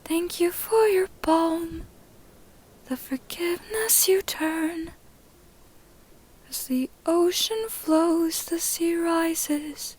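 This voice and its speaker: Woman voicing high, drawn-out solemn ritual chanter. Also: steady tone, darkish tone, heavily acted, poor audio. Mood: solemn, grateful. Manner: drawn-out, sing-song, solemn. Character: solemn, pained young woman chanting a sea rite. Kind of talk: slow ritual chant in fan fiction audiobook.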